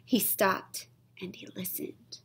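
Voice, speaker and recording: A young woman reading a children's storybook aloud, expressive and suspenseful, stretching key words and dropping toward whispers. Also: takes it slowly. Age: young adult. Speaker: read-aloud storyteller, teacher. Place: small classroom, indoors.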